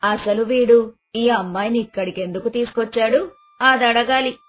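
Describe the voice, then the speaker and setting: Lady doing a stern suspicious mother-in-law for a cartoon story, high voice, music behind. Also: fairly even intonation, normal speed, close to an everyday voice. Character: stern, proud middle-class mother-in-law, disapproving and suspicious. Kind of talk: cartoon moral story voice acting. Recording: dialogue over background music.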